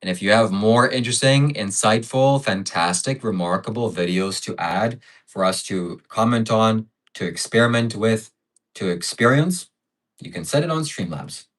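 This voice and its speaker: A man's voice: on the low side, lively intonation, moderate pace, a bit bright, poor audio. A man hosting a casual livestream, thanking donors and stacking up adjectives as he chats. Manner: reads out donations and piles up adjectives. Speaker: livestream host.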